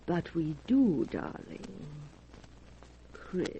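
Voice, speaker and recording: An actress playing a possessive, scorned wife in an intense, melodramatic 1940s radio horror style. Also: female voice, lowish voice, sing-song, drawn-out words, dark tone, dull audio, heavily acted. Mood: pleading, seductive. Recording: noisy vintage 1940s radio recording.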